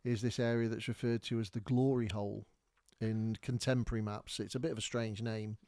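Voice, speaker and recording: A man's voice: fairly low pitch, flat delivery, a little fast, mellow, no room sound, studio quality. A man from North Wales, telling a rambling, casual story as a podcast host while pointing things out on maps. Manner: chatty, rambling, with many fillers and restarts.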